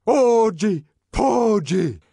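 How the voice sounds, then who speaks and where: A man voicing a synthetic liturgical singer in an audiobook, chanting loudly in a rhythmic singsong. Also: high-pitched, very animated, extremely slow, vocal fry, slightly rough, no room sound, clean studio sound, pitched above the performer's own voice, full character voice. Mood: rapturous. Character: great synthetic bass chanting a liturgical refrain. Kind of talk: dramatic audiobook reading.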